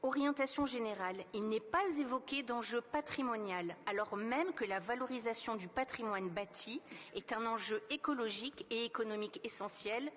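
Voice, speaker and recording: A woman with an accent from France reads a formal political speech as a councillor, in measured, carefully built phrases. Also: fairly high pitch. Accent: French from France. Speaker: city councillor giving a speech. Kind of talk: formal scripted political speech, read aloud. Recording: reverberant hall sound.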